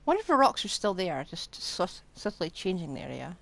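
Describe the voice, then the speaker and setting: A woman's voice: fairly low pitch, very animated, a little fast, gravelly. A woman doing casual live commentary as a game streamer, wryly reacting aloud as she plays.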